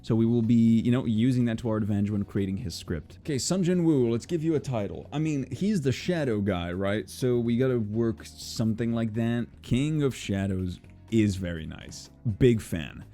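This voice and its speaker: A young man who creates videos, chatting casually in an informal, excitable and expressive way. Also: medium pitch, normal speed, faint music behind. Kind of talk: casual chatty vlog talk.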